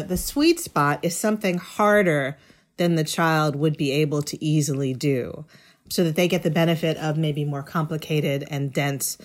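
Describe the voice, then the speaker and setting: A middle-aged woman speaking as an interview guest, explaining things in an articulate, thoughtful, conversational way. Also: sits low. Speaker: interview guest, education writer.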